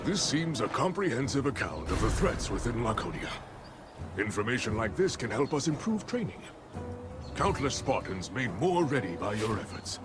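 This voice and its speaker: A man playing a stern, authoritative Spartan commander in a video game, speaking in a measured, formal way. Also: mid-range voice, very animated, music in the background, lightly acted. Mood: formal approval. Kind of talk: dramatic video game acting.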